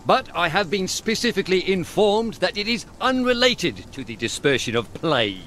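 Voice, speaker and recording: An actor playing an officious, over-polite British police constable with formal, wordy speech in a video game. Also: male voice, high voice, very animated, normal speed, a bit bright. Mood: smugly apologetic. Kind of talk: comic video game voice acting.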